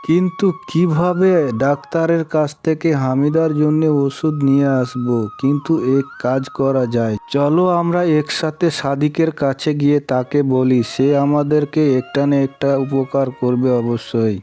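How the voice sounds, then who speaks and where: A man's voice: fairly high pitch, fairly even intonation, normal speed, few pauses, warm tone, natural voice. A man playing a poor, earnest village father in a cartoon, plaintive and pleading, with music behind him. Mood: worried. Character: poor, worried village father seeking work, pleading and devout. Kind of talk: cartoon voice acting.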